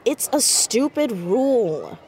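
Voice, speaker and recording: A woman's voice: on the high side, very animated, slow pace, darkish tone, slight background noise, exaggerated voice. A woman playing an earnest, nosy fourteen-year-old girl in an audio drama, youthful and argumentative in a naturalistic way. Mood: indignant. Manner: emphatic, argumentative.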